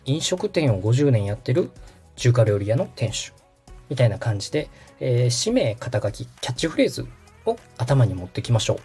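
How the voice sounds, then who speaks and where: A man's voice: medium pitch, sing-song, normal speed, dark tone, husky. A man hosting a podcast, explaining things politely, step by step, in a how-to style. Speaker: podcast host. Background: background music.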